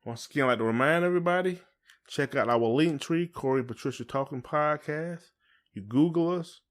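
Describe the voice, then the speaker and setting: A man's voice: fairly high pitch, a little fast. A man with a Southern US accent chatting casually as a podcast co-host, in a folksy, joking way. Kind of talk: casual chat.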